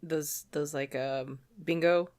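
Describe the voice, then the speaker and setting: A woman's voice: deep voice, fairly even intonation, slow pace, a little mellow. A woman co-hosting a podcast, chatting casually about games in an informal, giggly way. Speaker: podcast co-host.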